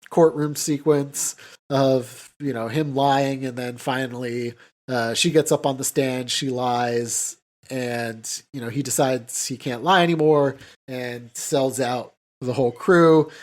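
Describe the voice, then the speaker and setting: A man co-hosting a podcast, casually recapping a film in a halting way full of filler words. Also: medium pitch. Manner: halting, filler-heavy with "like" and "you know". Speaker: podcast co-host.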